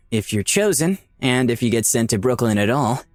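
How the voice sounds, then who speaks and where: A man's voice: medium pitch, big pitch swings, unhurried, no room sound, studio quality. A man playing a skeptical, dryly sardonic dead man in Hell, narrating a visual novel in a wry, conversational way. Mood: weary doubt.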